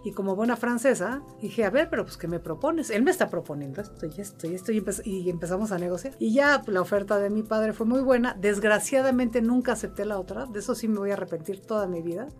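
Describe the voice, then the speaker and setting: A woman's voice: medium pitch, quick pace, few pauses. Middle aged mexican lady chatting casually, funny and slangy, interview guest, music in the back. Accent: Mexican Spanish. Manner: chatty, humorous, colloquial. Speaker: interview guest, wine importer and sommelier. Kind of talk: casual conversational storytelling.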